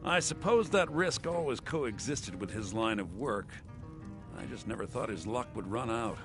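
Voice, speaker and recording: An actor playing a refined, courteous scholar in a fantasy game, articulate and theatrical. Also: male voice, mid-range voice, animated, normal speed, music in the background, natural voice. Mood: wistful.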